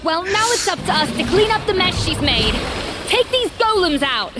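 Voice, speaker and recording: A woman's voice: very high voice, big pitch swings, moderate pace, over loud music. A woman playing a proud, blunt young master chef in a video game, with a commanding, scolding manner. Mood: stern, exasperated.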